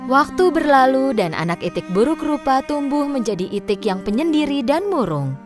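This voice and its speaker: A woman narrating a children's story in an expressive, scripted storybook style. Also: high-pitched, moderate pace. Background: music.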